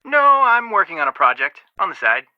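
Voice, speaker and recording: A man's voice: high-pitched, very animated, fairly quick, dry recording, highs cut off. An actor voicing a level-headed, protective young male amateur detective in a video game, speaking in an earnest, matter-of-fact way with dry wit. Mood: dryly amused. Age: young adult.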